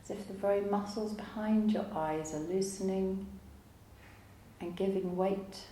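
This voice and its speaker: A woman teaching yoga nidra, guiding a relaxation meditation in a calm, soothing, unhurried way with long pauses. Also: medium pitch. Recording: roomy echo, faint background noise.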